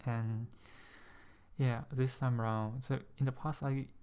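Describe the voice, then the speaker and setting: A young man from Singapore with a Singaporean-tinged English accent, talking to camera in a casual vlog, hesitant and a bit nervous, pronouncing words with deliberate care. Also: mid-range voice, little pitch movement, darkish tone, clear, resonant tone. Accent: Singaporean-influenced English, carefully pronounced. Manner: hesitant, many ums, a little nervous; careful, deliberate pronunciation. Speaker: ASMR channel host. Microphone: USB desk microphone.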